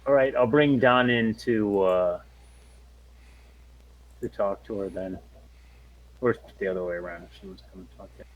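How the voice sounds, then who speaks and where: A man's voice: fairly low pitch, normal speed, pauses often, muffled recording. A man playing a tabletop game, talking casually and tentatively as he thinks aloud.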